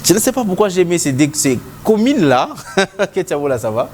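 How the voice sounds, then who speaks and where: A man with a Congolese French accent hosting a casual morning TV show, greeting viewers in a jovial, drawn-out way. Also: high-pitched, sing-song, background noise.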